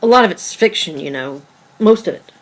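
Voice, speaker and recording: A woman playing an evasive, defensive girlfriend in a dramatic table read, with a hesitant, halting delivery. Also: fairly low pitch, expressive, low-quality recording. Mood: indignant, defensive. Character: evasive, defensive girlfriend, secretive would-be writer. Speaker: actor in a script table read.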